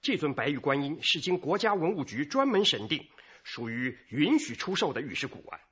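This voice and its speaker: A man's voice: a little high, very animated, brisk, sharp tone, breathy edge, lo-fi sound, full character voice. An actor playing a self-important male department store manager in a radio crime drama, explaining in an official manner.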